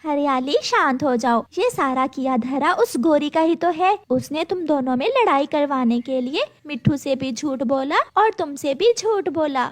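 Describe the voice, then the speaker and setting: A woman's voice: sits high, animated, measured pace, few pauses. A woman voicing a sensible, kindly female bird who makes peace in a children's cartoon, in a reassuring manner. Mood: soothing. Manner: reasoning, reassuring. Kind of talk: Hindi children's cartoon voice acting.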